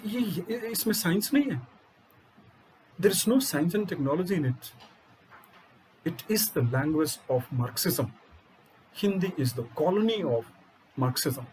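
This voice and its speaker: A man's voice: a little high, darkish tone, a little hiss or hum, low-quality recording. A middle-aged man with an Indian accent giving an impassioned lecture, firing rhetorical questions and hammering key phrases again and again. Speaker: UPSC coaching teacher.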